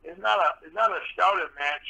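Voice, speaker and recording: A man hosting a radio talk show with a soft voice, rambling and full of fillers and restarts. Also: sits high, fairly quick, vocal fry, rough recording.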